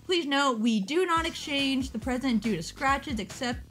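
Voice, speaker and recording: An excitable young woman chatting at her webcam, jumping between thoughts and laughing at herself. Her voice sits a bit high and sounds tired. Faint music plays softly underneath. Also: moderate pace. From United States. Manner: excitable, rambling, self-interrupting, laughs often. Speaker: model kit vlogger. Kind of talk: casual chat over a webpage.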